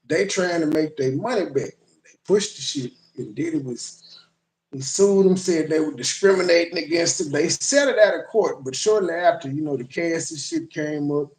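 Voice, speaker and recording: A man's voice: fairly high pitch, roomy sound, rough recording. A man hosting a livestream, rambling and profane as he chats with viewers and reacts to clips. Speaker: livestream host. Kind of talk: casual live commentary.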